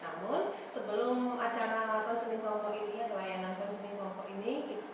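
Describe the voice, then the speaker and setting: A young woman who leads a group counseling session for students. She speaks in a friendly way and pauses often with filler sounds. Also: medium pitch, steady tone. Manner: friendly, halting with many fillers. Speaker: school counselor trainee. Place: school classroom. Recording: echoey room from far off, hiss.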